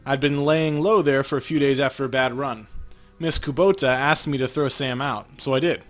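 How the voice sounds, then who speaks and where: A man's voice: medium pitch, normal speed, close to an everyday voice. Man playing a wry, hunted street runner over game music. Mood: weary, wry. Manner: wry, streetwise tough-guy talk. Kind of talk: reading video game dialogue aloud.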